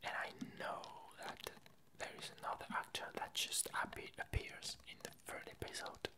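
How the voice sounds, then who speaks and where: A woman whispering a casual ASMR update, talking in halting, softly hesitant phrases. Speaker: ASMR video creator.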